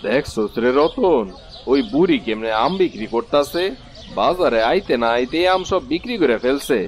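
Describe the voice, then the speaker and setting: A man's voice: mid-range voice, expressive, normal speed, a little hiss or hum, lightly acted. A man voicing a scheming, boastful village thief in a cartoon tale. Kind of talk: cartoon fairy tale acting.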